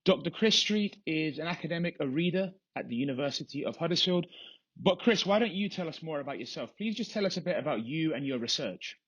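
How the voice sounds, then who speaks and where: Husky lively-voiced podcast host. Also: male voice, on the high side, a little fast. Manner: rambling questions with restarts. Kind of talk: interview hosting. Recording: dull, muffled lo-fi audio.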